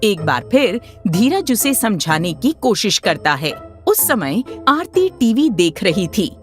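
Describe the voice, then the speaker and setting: A woman reads a moral tale as narrator in an expressive, scripted style. Her intonation is lively and her pace is slow, with music under the voice. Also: medium pitch.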